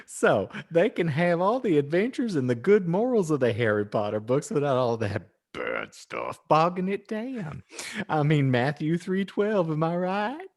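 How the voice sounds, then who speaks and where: A man's voice: a little high, big pitch swings, unhurried, exaggerated voice. A man voicing a pious, chirpy housewife who gushes earnestly as she reads out her moralizing fan fiction as a storybook. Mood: gushing, preachy. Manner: gushing, earnest, exaggerated emphasis. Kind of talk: dramatic storybook narration.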